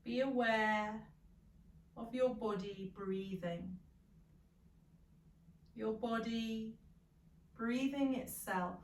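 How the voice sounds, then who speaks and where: Very slow-speaking woman guiding meditation. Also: a little high. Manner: calm, soothing, gentle instruction with long pauses. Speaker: yoga nidra meditation guide. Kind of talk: guided meditation. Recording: roomy echo, distant from the mic.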